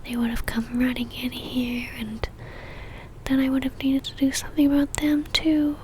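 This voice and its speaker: A woman playing an obsessive, seductive female vampire in a whispered ASMR roleplay, speaking intimately with a teasing, soft menace. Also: sits high, monotone, moderate pace, a little hiss or hum, close to an everyday voice, low-quality recording. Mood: calmly menacing.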